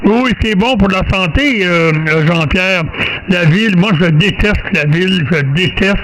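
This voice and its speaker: An older man with a Québécois French accent chats casually over amateur radio in a halting, rambling way with drawn-out pauses. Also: sits high. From Quebec, Canada. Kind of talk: casual radio contact chat. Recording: amateur radio audio with faint noise.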